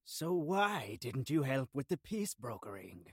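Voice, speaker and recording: A man acts out an aloof ancient spirit in an audio play. The voice is mid-pitched and formal, solemn in delivery, with no room sound. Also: very animated, measured pace, slightly rough, no echo, clean studio sound, exaggerated voice.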